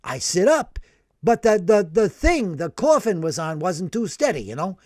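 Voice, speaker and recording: A man voicing a brash, excitable older working-class man who tells his story in animated slang. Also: high voice, moderate pace, no room sound, clean studio sound. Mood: excited.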